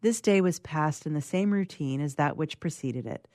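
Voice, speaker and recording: Woman reading as low-voiced lonely creature, gentle outcast. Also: lively intonation, no room sound, studio quality. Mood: wistful, earnest. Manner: formal, measured literary reading.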